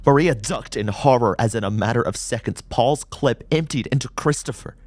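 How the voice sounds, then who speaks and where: A man's voice: on the low side, a little mellow, no echo. A man reading horror fiction as a dramatic, suspenseful narrator who often drops toward a whisper.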